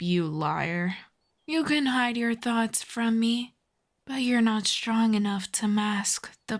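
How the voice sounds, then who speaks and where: A woman playing a smug telepathic villain in an ASMR audio roleplay, sardonic and taunting, often breathy and near a whisper. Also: medium pitch. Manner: sardonic, deliberate, taunting, drawn-out phrasing. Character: smug telepathic female villain, mocking, menacing, secretly intrigued.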